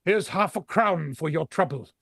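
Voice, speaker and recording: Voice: medium pitch, normal speed, vocal fry, fairly bright tone, slightly rough, no room sound, studio quality. An actor playing an elderly reformed miser in a vintage radio drama, stammering and exclaiming with great feeling. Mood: excited, genial. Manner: stammering, breathless exclamations with frequent chuckles.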